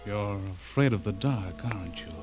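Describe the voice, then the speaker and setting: An actor playing an intense horror writer with an insinuating manner, in an old-time radio drama style. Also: male voice, lowish voice, normal speed, natural voice. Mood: sinister, taunting. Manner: insinuating, menacing when reciting. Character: intense male horror writer, sinister when reading aloud. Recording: muffled old radio recording, loud music behind.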